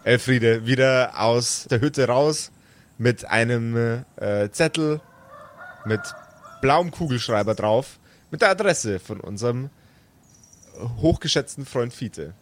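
A man's voice: mid-range voice, lively intonation, slow pace. A man acting as game master, improvising narration and bits of character talk.

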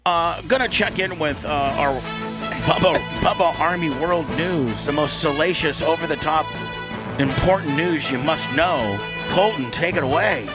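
A man's voice: a little high, unhurried, over loud music. A man hosting a loose comedic radio show, rambling and bossy as he teases the people around him.

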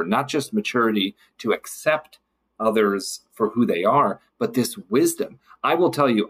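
A man speaking as a podcast guest in a thoughtful discussion, reflective and a little halting, pausing for emphasis. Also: lowish voice, fairly bright tone. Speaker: podcast guest, city council member.

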